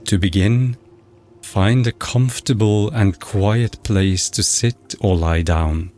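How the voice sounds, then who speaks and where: A man narrating a guided meditation in a measured, instructional, scripted way. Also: fairly low pitch, a little mellow. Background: background music.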